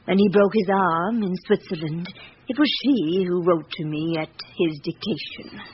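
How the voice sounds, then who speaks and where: An actress playing an elderly, aristocratic French widow in a vintage radio drama, speaking formally and candidly with composure. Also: female voice, on the low side, animated, moderate pace, natural voice. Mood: composed, wistful. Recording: dull, hissy old radio broadcast.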